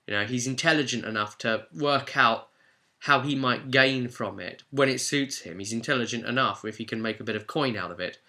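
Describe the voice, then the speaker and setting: A man with a very bright, forceful voice at a middle pitch, narrating a tabletop role-play recap as its host. He tells it informally and expressively, like a game master talking straight to viewers. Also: a little fast. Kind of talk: animated storytelling recap to viewers.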